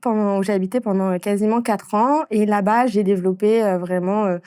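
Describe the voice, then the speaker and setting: Hesitant, soft-spoken woman in her thirties. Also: medium pitch, fairly even intonation, brisk, smooth, no room sound, studio quality. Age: 30s. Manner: hesitant, many fillers and restarts. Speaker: interviewee, entrepreneur. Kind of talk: casual interview chat.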